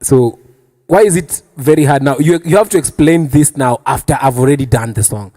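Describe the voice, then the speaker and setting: A man's voice: medium pitch, a little fast, mellow. A man with a Kenyan accent hosting a casual panel chat, teasing his guests with questions and switching between languages. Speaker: talk show host.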